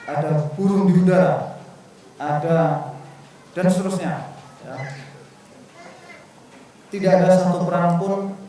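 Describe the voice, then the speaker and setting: A man, a brigade commander, giving a formal anniversary speech at a ceremony, deliberate and pausing often with fillers. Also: a little high, mellow. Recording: very echoey, distant mic, faint room noise.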